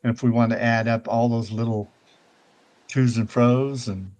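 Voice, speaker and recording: A man's voice: medium pitch, steady tone, moderate pace, a little mellow. An older man hosting a casual talk show, walking listeners through things in a folksy, rambling way and often checking in with "right?". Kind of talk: casual chat and virtual world walkthrough.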